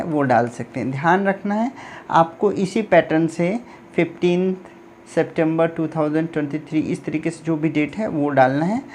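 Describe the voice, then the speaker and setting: A man's voice: a little high, a little mellow, a little hiss or hum. A man from India teaching an exam lesson, explaining step by step and repeating points with frequent check-ins. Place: recorded at a computer.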